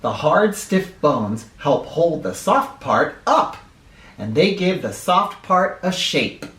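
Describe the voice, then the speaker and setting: A man reading a children's picture book aloud in an expressive, instructive way, speaking directly to young listeners. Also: on the high side, takes it slowly. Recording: roomy echo, distant from the microphone.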